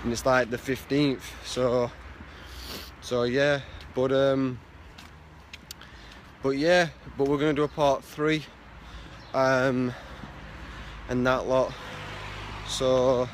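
A man's voice: mid-range voice, level tone, slow pace, long pauses, slight background noise. A young man from the north of England, vlogging casually into a phone held at arm's length while walking outdoors. He rambles with lots of fillers and repeats himself. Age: young adult. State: blocked nose, sounds stuffy. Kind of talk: casual selfie vlog. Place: outdoors on a street walking to work.

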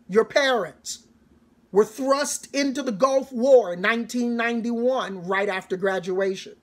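A middle-aged man giving a sermon-like commencement address as a church elder, emphatic and deliberate, with repeated phrases and dramatic pauses. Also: extremely high pitch. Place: small home study, indoors.

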